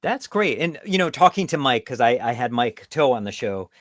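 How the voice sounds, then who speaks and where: A man's voice: mid-range voice, sing-song, normal speed, dry recording, studio quality. A man hosting a podcast, chatting casually and asking long, rambling questions in an affirming way. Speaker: podcast host. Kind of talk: casual interview chat.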